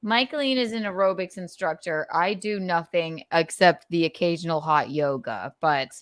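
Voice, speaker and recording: A woman hosting a casual chat, enthusiastic and quick to laugh. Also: on the low side, dry recording, studio quality. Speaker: podcast host.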